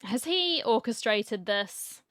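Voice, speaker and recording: A woman's voice: a little high, sing-song, takes it slowly, fairly bright tone, clean tone, dry recording, clean studio sound. Chatty woman gamer with wry commentary. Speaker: gamer, streamer. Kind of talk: casual playthrough commentary.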